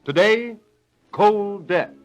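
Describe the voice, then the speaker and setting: A man's voice: high voice, lively intonation, drawn-out words, soft background music. A man announcing in scripted 1930s radio style, emphatic and salesmanlike. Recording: muffled old radio broadcast recording.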